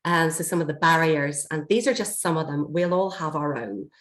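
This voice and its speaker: Woman trainer, slightly hoarse, lowish voice, echoey room on a video call, steady explanatory delivery. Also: moderate pace. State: slightly hoarse, coughing from a chest infection. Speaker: learning and development trainer. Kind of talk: online workshop presentation. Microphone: computer mic on a video call.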